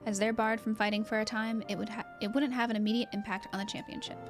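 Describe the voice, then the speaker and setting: A somewhat high female voice acted by a woman for a concerned fighter in a video game. She speaks politely and with measured seriousness. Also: level tone, music in the background, natural voice. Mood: earnest. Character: earnest, concerned female fighter explaining grave danger.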